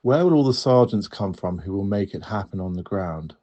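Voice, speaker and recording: A man reading out a listener question in a measured, earnest way. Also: sits low, expressive, dark tone.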